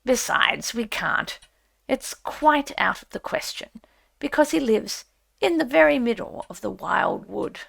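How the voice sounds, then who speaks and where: Woman voicing an emphatic, creaky storybook water rat. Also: on the high side, animated, unhurried, a bit bright, full character voice. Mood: alarmed. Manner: evasive, emphatic. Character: evasive, anxious male water rat, friendly storybook animal.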